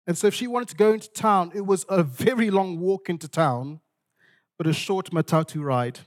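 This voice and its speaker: High-voiced man preaching. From Zimbabwe. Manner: dramatic, deliberate phrasing with repetition for emphasis. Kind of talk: expository sermon with storytelling.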